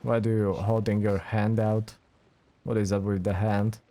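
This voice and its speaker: A man's voice: fairly low pitch, level tone, moderate pace, mellow. A man giving casual let's play commentary, muttering wry reactions as a game streamer. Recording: faint game music behind.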